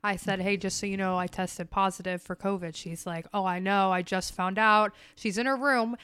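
A woman's voice: medium pitch, fairly even intonation, normal speed, a bit bright, smooth, no room sound. A young adult woman co-hosting a family podcast, chatting in a wry, teasing way. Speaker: podcast co-host. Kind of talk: casual family podcast chat.

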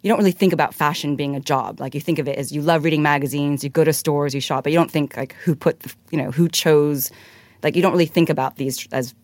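A woman's voice: sits low, fairly even intonation, fast talker, a little mellow, dry recording. Woman from hong kong, casual rambling interview talk, dry studio mic. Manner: chatty, many fillers and restarts. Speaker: guest.

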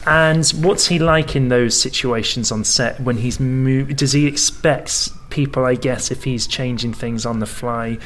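A man's voice: medium pitch, a little slow, hardly any pauses, a bit bright, slight background noise. A man hosting a casual interview, dropping frequent short agreements and questions. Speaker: interview host.

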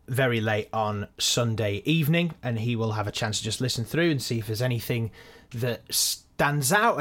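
A man's voice: mid-range voice, a bit bright. A man presenting a casual, self-deprecating behind-the-scenes look with anecdotes. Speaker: actor, editor and presenter.

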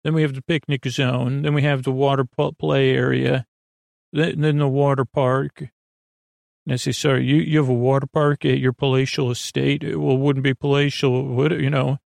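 A man with a creaky voice hosting a sleep podcast, meandering gently, mumbling and stumbling over his words as he trails off. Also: medium pitch, little pitch movement, normal speed, no room sound, studio quality. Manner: gentle, lulling. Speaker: podcast host. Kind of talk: rambling bedtime sleep podcast monologue.

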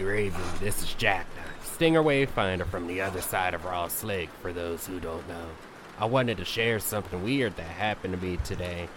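A man's voice: low-pitched, background noise, close to an everyday voice. A man playing an uneasy wayfinder calling in to a night radio show in an audio drama. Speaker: radio call-in caller.

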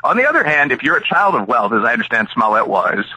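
Middle-aged american guy, academic being interviewed, really sharp forceful voice w some rasp, opinionated and analytical. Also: medium pitch, big pitch swings, fast talker, no room sound, low-quality recording. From Chicago area, United States. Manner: analytical, opinionated, hedging with asides and examples. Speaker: interview guest, academic and author. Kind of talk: discursive interview answers.

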